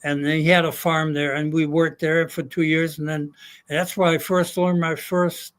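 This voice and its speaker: Older guy from germany, slightly high voice, lots of uh and um. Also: flat delivery, clean tone. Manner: halting, many fillers. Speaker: guest. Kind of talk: casual storytelling.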